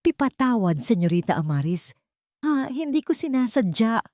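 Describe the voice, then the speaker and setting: A woman's voice: a little high, sing-song, normal speed, a little mellow, no echo, studio quality, voice pushed up. A woman storyteller voicing a humble, loving elderly mother who speaks in a subdued, hesitant way. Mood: frightened, pleading.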